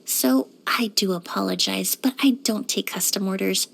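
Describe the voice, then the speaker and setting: A woman playing a courteous, composed young woman in a video game, speaking politely and earnestly with careful, measured wording. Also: medium pitch, vocal fry, fairly bright tone, husky, full character voice, low-quality recording. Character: poised, courteous young woman perfumer and forensic cleaner. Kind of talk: scripted video game character voice lines.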